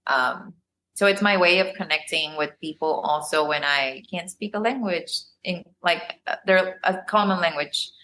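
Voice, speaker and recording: A woman whose first language is Spanish, a podcast guest chatting casually, relaxed, laughing easily and pausing with ums. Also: on the low side, slight background noise, low-quality recording. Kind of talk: casual interview chat.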